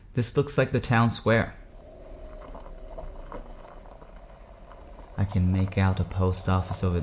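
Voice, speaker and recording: Troubled everyman driver played by a male actor, slightly low male voice, wary and inquisitive, natural delivery for audio drama. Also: noisy background, natural voice. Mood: curious. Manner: conversational, wondering, wary. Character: uneasy, questioning man driver, curious and troubled.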